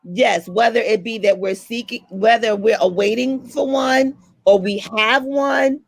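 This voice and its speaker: A woman co-hosting a casual chat, playful and excitable, slipping into put-on voices. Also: on the high side, measured pace, creaky, no echo.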